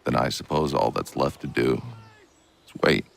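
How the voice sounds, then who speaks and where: An actor playing a gruff, sardonic older militia colonel in a video game, blunt and condescending. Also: male voice, very deep voice, moderate pace, a little hiss or hum, full character voice. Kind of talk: dramatic video game acting.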